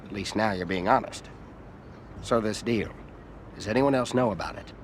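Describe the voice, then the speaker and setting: An actor playing an arrogant, greedy middle-aged American senator in a video game, with a folksy, condescending manner. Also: male voice, on the low side, moderate pace, a little hiss or hum, natural voice. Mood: smug, probing. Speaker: senator character in a crime game. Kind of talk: dramatic video game cutscene acting.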